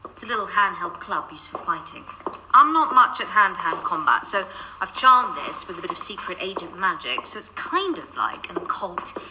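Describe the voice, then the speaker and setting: A woman's voice: on the low side, sing-song, moderate pace, pushed and bright, exaggerated voice. An actress playing a chatty, bossy female occult secret agent in a radio drama, talking in an earnest, explanatory way. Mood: chatty, keen. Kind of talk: modern radio drama acting. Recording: very noisy background.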